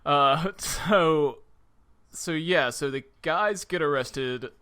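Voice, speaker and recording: A man with a bright, forceful voice chats loosely about a film, and he laughs often as he goes. He keeps jumping into quick impressions of the characters. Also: on the high side, clean tone. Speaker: podcast co-host. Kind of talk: casual movie review chat.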